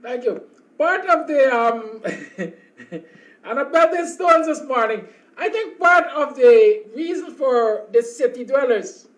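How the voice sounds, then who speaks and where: A man with a Guyanese accent hosting a live call-in talk show, chatty and quick to laugh. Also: very high voice, poor audio.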